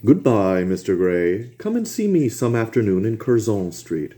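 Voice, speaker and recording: A man's voice: lowish voice, sing-song, normal speed, mellow, pitched above the performer's own voice. A man voicing a polished, witty male aristocrat who speaks politely and unhurriedly. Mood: suave.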